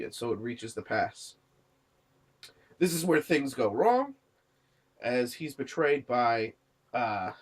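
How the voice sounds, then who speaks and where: Young male reviewer, sick and coughing, rambling casual delivery, average pitch. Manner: rambling, many fillers and restarts. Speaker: movie reviewer, channel host. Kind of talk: casual spoken movie review.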